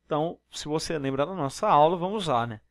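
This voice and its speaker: Brazilian male math tutor, slightly high voice, dry close voice-over, patient step-by-step explainer. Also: lively intonation, a little fast, no room sound. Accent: Brazilian Portuguese. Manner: explanatory, step-by-step, frequent tag questions. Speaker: math teacher. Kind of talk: exam problem walkthrough. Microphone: voice-over on screen recording.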